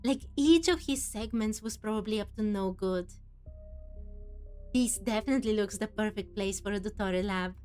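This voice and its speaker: A woman's voice: mid-range voice, moderate pace, darkish tone, clear, resonant tone. A woman giving casual game playthrough commentary, wondering aloud and questioning what happens. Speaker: game streamer. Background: game music.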